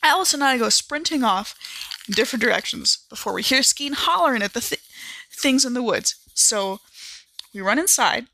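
A woman's voice: a little high, lively intonation, normal speed, pushed and bright, poor audio. A woman who is a podcast regular, talking casually and recounting a story in the first person.

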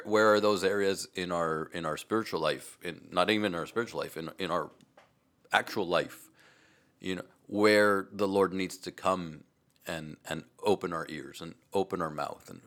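A man who grew up speaking Spanish, co-hosting a religious conversation in a reflective, halting way, rephrasing as he goes. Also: low voice, a bit bright. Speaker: co-host.

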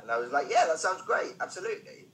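A man's voice: a little high, big pitch swings, quick pace, a bit bright. A man speaking as a podcast guest, a stand-up comedian telling anecdotes conversationally with self-deprecating, wry asides.

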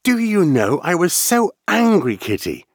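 A bossy little girl from a storybook, as acted out by a man, chatters and scolds with mock sternness. The pitch is lifted high and swings about with great animation, in a dry, close recording. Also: wildly swooping pitch, a little slow, dry recording, heavily acted. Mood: mock-stern scolding.

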